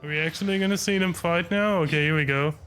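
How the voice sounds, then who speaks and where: A man giving casual game reaction commentary in a laid-back, halting way. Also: fairly high pitch, a bit bright. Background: game music.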